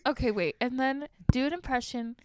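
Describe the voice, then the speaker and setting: A woman's voice: high-pitched, fairly quick, slight background noise. A young woman hosting a podcast, chatting casually in an upbeat, slangy, enthusiastic way. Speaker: podcast host.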